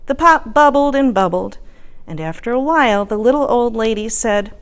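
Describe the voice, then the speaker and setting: A woman reading a children's story aloud, expressive and deliberate, with sing-song repetition. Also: a little high, clean tone. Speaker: storyteller reading a picture book.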